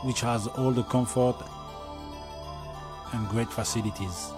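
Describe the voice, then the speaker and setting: A man's voice: medium pitch, steady tone, measured pace. A man in his forties with a non-native English accent, a coach giving scripted documentary narration. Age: 40s. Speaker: dunk training camp coach. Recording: music behind the voice. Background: music.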